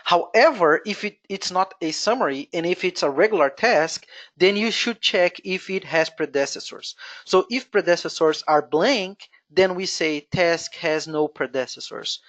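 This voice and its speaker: Brazilian accent, Portuguese first language, webinar presenter, step-by-step software tutorial, thinking aloud. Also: medium pitch, expressive, moderate pace. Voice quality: explains step by step, thinking aloud with frequent "you know". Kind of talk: screen-share software tutorial.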